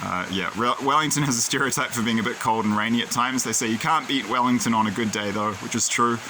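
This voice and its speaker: A man's voice: mid-range voice, fast talker, noisy background. A man talking casually to his own camera in a rambling, digressive, opinionated way. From New Zealand. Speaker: video creator. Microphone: camera he films himself with.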